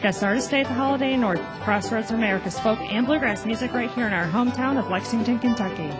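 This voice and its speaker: A woman's voice: a little high, fast. A woman reading scripted closing credits as a radio announcer. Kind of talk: scripted broadcast credits read. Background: loud guitar music.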